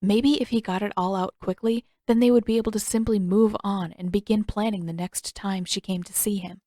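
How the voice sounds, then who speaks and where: A woman reading scripted fiction aloud as an expressive audiobook narrator. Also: mid-range voice, a little fast, dry recording, clean studio sound.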